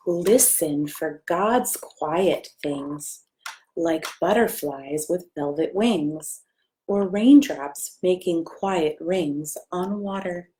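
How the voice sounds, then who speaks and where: An American woman, a pastor, reading a children's story aloud with gentle, simple asides and questions for young listeners. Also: fairly low pitch, very slow, a little hiss or hum, low-quality recording. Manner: gentle, simple questions addressed to small children, often hushed.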